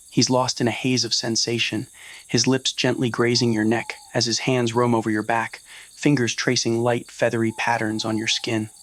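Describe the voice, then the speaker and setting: A narrator reading a scripted romantic roleplay story in the second person, voicing the scene as well as the dialogue. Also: mid-range voice. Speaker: narrator of fan roleplay audio stories.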